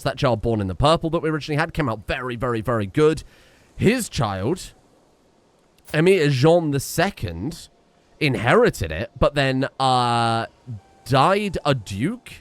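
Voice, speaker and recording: A young man talks through a strategy game with excited exclamations and rambling restarts. His voice sits in an ordinary middle register. The sound is close and dry, with no room around it. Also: mid-range voice, no echo. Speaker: gaming streamer. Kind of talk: casual let's-play commentary.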